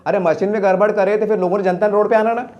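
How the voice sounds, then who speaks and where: High-voiced man with Deccani accent, impassioned commentary. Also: fairly even intonation, very fast, muffled recording. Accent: Deccani Urdu-Hindi of Maharashtra. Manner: rhetorical questions and emphatic repetition. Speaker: local news journalist and commentator. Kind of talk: impassioned off-the-cuff political commentary.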